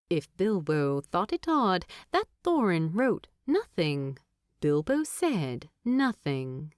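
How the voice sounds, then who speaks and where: A woman reads a story aloud at a slow, deliberate pace. Her medium-pitched voice moves expressively up and down, recorded dry like an audiobook. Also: no room sound. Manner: unhurried, expressive, deliberate phrasing. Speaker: narrator. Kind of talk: scripted fiction narration, audiobook storytelling.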